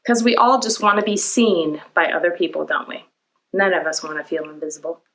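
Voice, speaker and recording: A woman's voice: lowish voice, fairly quick, a bit bright, rough recording. A woman hosting a children's storytime, reading and explaining simply to young listeners and often putting questions to them. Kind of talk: children's storytime read-aloud and talk to young viewers.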